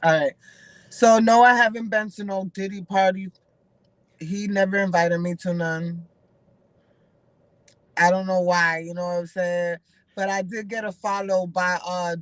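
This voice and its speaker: A woman's voice: on the low side, fairly even intonation, unhurried, dry recording. A woman with a mix of a Dominican and a Massachusetts accent, chatting casually as a guest on a video call and using lots of slang and fillers. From Dominican family, raised in Massachusetts. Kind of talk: casual chat on a live call. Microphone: remote video call.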